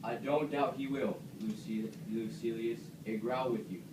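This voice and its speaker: A proud, wronged animal general acted by a man speaks in a puppet play. The voice is medium-pitched and distant, in a noisy room. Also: fairly even intonation, unhurried, lightly acted. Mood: proud, offended. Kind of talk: amateur read-aloud puppet play. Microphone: far camera. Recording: echoey classroom, distant mic, clear noise.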